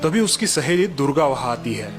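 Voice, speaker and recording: A man's voice: a little high, moderate pace, soft background music. A man narrating a village moral story in a scripted storytelling style. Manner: even, explanatory narration.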